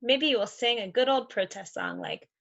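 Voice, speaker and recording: A woman reading a picture book aloud to young children, expressive and encouraging, with conversational asides. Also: fairly high pitch, normal speed, highs cut off. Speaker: read-aloud host for children.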